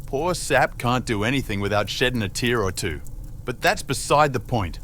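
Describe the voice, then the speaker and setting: A man voicing a loud, grumpy male dwarf smith, brash and coarse in manner. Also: medium pitch, lively intonation, normal speed, a little hiss or hum, pitched above the performer's own voice, natural voice. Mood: mocking.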